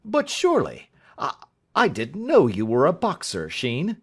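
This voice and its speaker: A man reading aloud, voicing an excitable adult schoolmaster who asks question after question. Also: fairly high pitch, measured pace, slightly rough, voice pushed up, exaggerated voice. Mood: eager. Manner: fussy, exclaiming, questioning.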